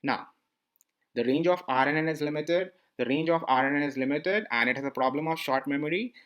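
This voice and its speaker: A man's voice: fairly high pitch, fairly quick, highs cut off. A man explaining a lesson over slides, often repeating himself and asking the listener questions. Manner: repetitive, asks listeners questions. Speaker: teacher. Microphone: voice-over on slide screencast.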